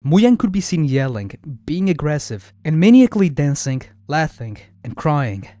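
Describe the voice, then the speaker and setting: A man's voice: a little high, sing-song, normal speed, warm tone, no room sound. A young man narrating a scripted video as its host, with chatty asides. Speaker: YouTube host.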